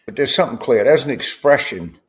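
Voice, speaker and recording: A man from South Louisiana giving informal commentary in a halting, deliberate manner. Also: mid-range voice, lively intonation. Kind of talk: slow informal political commentary.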